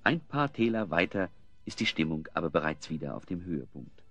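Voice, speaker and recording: A man's voice: low voice, sing-song, fairly quick, fairly bright tone, no room sound. A man narrating an audio drama as a scripted storyteller. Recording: slightly dull sound, music underneath.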